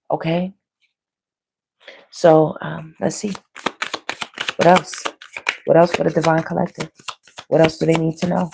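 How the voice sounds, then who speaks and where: A woman with a low, hoarse voice hosts a live tarot reading. She talks casually and colloquially to her viewers, often checking in as she interprets the cards. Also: a little mellow. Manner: colloquial, frequently checking in with "you know" and "okay". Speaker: tarot reader hosting a live online reading.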